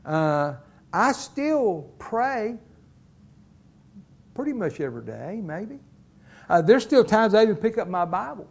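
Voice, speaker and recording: Pastor, middle-aged man, folksy storyteller from the pulpit, high voice, slightly muffled lo-fi recording. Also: very animated, slow pace, gravelly, long pauses, darkish tone. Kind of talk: sermon. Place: church.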